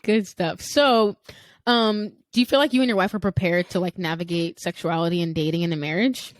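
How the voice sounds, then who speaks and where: A woman's voice: lowish voice, a little fast, no echo. A woman hosting a podcast interview, asking questions and giving short encouraging replies. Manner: brief encouraging replies, laughs along. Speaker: podcast host. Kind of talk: casual interview.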